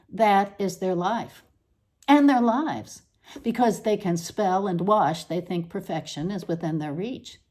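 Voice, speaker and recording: A woman reads a literary essay in a fairly low, mellow voice. Her intonation is very lively, rising and falling widely, and she places each phrase with deliberate, theatrical emphasis. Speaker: reader. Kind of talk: scripted literary essay reading.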